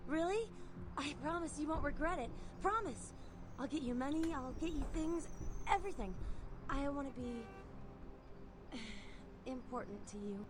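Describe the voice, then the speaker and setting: A woman's voice: sits high, animated, unhurried, background noise. An actress playing a devoted, needy young woman in a video game, pleading and hesitant, with music behind her. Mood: eager, elated.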